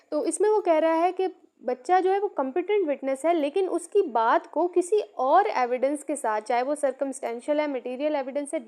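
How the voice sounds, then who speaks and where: A woman's voice: high-pitched, warm tone. A Pakistani woman, a native Urdu speaker, teaching law by reading out points and explaining them repetitively. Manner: repetitive, code-switches between Urdu and English. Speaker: law lecturer.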